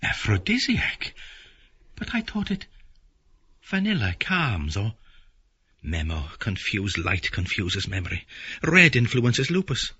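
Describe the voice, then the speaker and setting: Guy doing anxious submissive middle-aged man, husky, pitch swooping about, florid audiobook drama. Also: very animated, takes it slowly, pauses often, pushed and bright, dull audio, heavily acted. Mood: dreamy, rambling.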